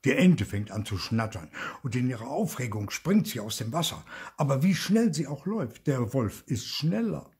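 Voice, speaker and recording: An older man reading a children's story expressively at home, slowing and dropping to a whisper for suspense. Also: mid-range voice, big pitch swings, a little mellow, slightly rough. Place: indoor study with bookshelves.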